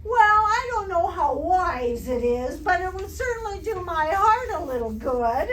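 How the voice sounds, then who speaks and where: A distant, echoey stage recording carries a woman acting a put-upon schoolteacher from a radio comedy. She speaks very high and deliberately, with dry sarcasm. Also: big pitch swings, fairly bright tone, slight background noise, exaggerated voice. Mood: dryly exasperated. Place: live stage performance.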